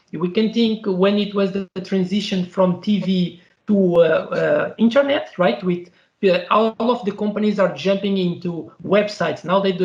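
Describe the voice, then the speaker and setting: A man's voice: sits high, echoey room, lo-fi sound. A man on a panel, speaking with frequent hesitations and tagging his points with "right?". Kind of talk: online panel discussion.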